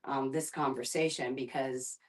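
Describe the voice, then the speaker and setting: A woman facilitating a group discussion, speaking conversationally and reflectively, often pausing to find her words. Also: a bass voice, steady tone. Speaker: guest facilitator, end-of-life doula and filmmaker.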